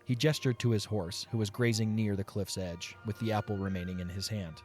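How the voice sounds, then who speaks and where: A man's voice: on the low side, fairly even intonation, brisk. A man reading scripted story narration for a fantasy audio drama. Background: soft music.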